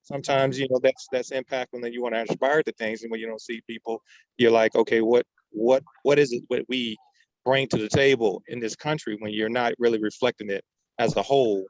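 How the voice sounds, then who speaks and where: A man's voice: medium pitch, fairly even intonation, a little fast, dry recording, highs cut off. An American man sharing his thoughts informally in a group discussion. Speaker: workshop participant.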